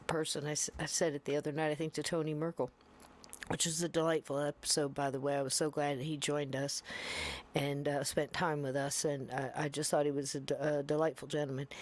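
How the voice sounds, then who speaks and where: A woman hosting a podcast in casual, rambling chat, sometimes dropping into hushed asides. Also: sits low. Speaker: podcast host.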